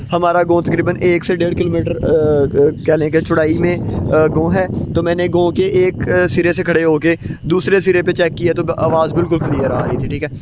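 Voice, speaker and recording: A man's voice: a little high, steady tone, normal speed, few pauses. A man giving a casual product demonstration outdoors as a reviewer, over wind noise. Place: outdoors, open field and rooftop.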